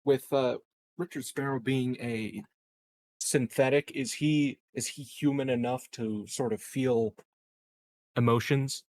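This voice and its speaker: Mid-pitched man in casual chat. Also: fairly even intonation, slow, darkish tone. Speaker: tabletop game player. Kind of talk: casual game-table chat.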